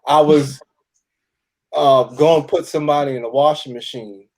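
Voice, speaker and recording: A man's voice: on the high side, steady tone, normal speed, a little mellow, poor audio. A man talking casually as a guest in a group interview. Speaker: interview guest, radio theater cast member. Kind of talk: casual chat.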